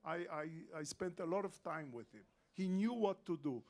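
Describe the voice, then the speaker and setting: A man's voice: high voice, lively intonation, normal speed. An older man, speaking emphatically and repeating his points as a panel guest. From Israel. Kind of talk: panel discussion.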